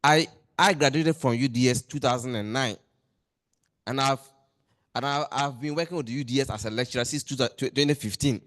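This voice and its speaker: Man, member speaking from the floor, earnest and pressing, mid pitch. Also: a bit bright. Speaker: lecturer and association member. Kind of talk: raising a complaint at a meeting. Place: meeting hall.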